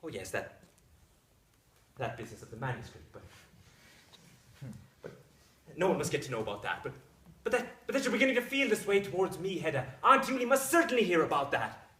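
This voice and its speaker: A man's voice: fairly high pitch, big pitch swings, brisk, pauses often, pushed and bright. A man playing a naive, fretful young scholarly husband in a stage drama, stammering and often ending remarks with "eh?". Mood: flustered. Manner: stammering, flustered, ends remarks with "eh?". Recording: roomy echo, distant microphone.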